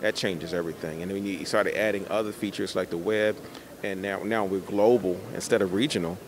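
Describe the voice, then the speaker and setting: Quick-talking middle-aged man, lowish voice. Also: steady tone. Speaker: interviewed professional artist. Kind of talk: conversational interview answers. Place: indoor art expo in a convention center. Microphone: handheld interview microphone. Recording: busy exhibition hall crowd behind. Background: crowd noise.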